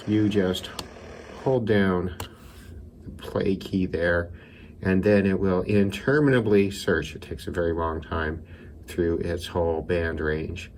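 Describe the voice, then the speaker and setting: A man with a very low voice talks through a hobby demonstration in a casual way. He takes his time and thinks aloud as he works. Clearly audible noise and static sit behind his voice in the room. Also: darkish tone. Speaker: radio hobbyist presenter. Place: indoors by a window.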